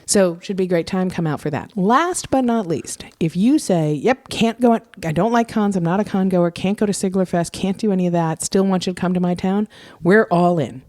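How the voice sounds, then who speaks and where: A woman's voice: lowish voice, a little fast, a little mellow, dry recording. A woman co-hosting a podcast, giving event details in an informal, direct, chatty way. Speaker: podcast co-host. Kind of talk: casual podcast chat, announcing tour details.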